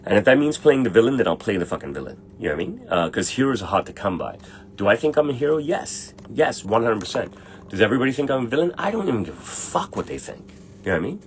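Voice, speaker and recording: A man's voice: lowish voice, big pitch swings, fast talker. A middle-aged man, a tailor, answering viewer questions in a blunt, brash way and swearing freely. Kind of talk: casual livestream Q&A.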